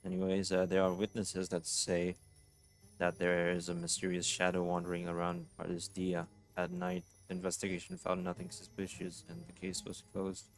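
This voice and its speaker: Male game streamer, very deep voice, casual reaction commentary over clearly audible game music. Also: monotone, normal speed. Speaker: livestreamer playing a game. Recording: music behind the voice.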